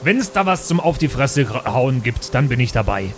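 A man's voice: mid-range voice, sing-song, moderate pace. A man playing a brash, brawl-loving fantasy fighter with a blunt, stubborn manner. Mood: blunt, insistent.

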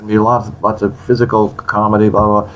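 A man's voice: lowish voice, brisk, lo-fi sound, a little hiss or hum. A man in his sixties, a screenwriter in an interview, telling a story animatedly and acting out quotes. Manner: animated, acts out quotes in other voices. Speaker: screenwriter guest.

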